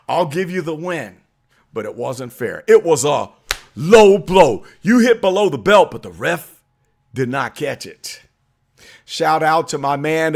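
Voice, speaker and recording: A hoarse man hosting a sports vlog, arguing his point with emphatic, call-out energy. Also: a little high. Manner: emphatic, preachy, calls people out. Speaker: channel host. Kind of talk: fired-up sports opinion vlog.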